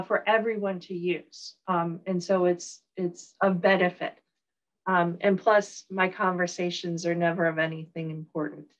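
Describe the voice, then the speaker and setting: A woman professor with a Southern US accent giving a recorded online lecture, relaxed, with frequent ums and uhs. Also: mid-range voice, lo-fi sound.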